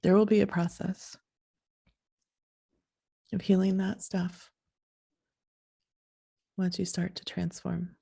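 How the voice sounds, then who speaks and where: A woman hosting a podcast in a soft, sleepy voice, talking in a slow, halting, reflective way. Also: on the low side, level tone, vocal fry, slightly rough. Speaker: podcast host.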